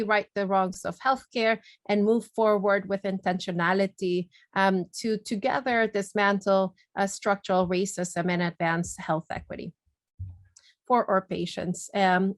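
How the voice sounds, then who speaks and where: A middle-aged woman from Guatemala talks earnestly on a video call, with frequent fillers and restarts. Her voice sits at an ordinary pitch, and the sound is dry and close. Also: dry recording. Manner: earnest, many fillers and repeated words. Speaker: neurologist and webinar speaker. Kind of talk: webinar presentation and Q&A.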